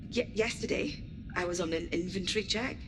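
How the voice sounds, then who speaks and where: A woman's voice: on the low side, a little fast, over music, lightly acted. An actress playing a bored young woman space technician confiding in her mum in a video game log. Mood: uneasy, confiding.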